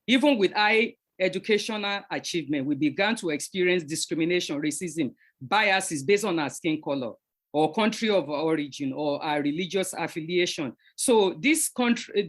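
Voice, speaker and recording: Earnest woman moderator from Nigeria. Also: on the low side. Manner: measured, earnest, repeats and restarts phrases. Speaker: panel moderator. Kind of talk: prepared opening remarks and moderating.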